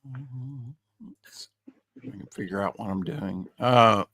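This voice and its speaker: A male podcast host with a slightly raspy voice, chatting casually in a rambling way, full of fillers and trailing off. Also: mid-range voice, drawn-out words, fairly bright tone, dry recording. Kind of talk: casual chat.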